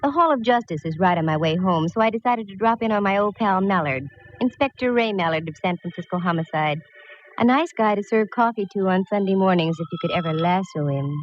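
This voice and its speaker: An actress playing a wry, quick-witted female private eye in a 1940s radio detective drama, breezy and wisecracking. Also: mid-range voice, expressive, faint music behind, lightly acted. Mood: wry narration. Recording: noisy, dull vintage radio recording.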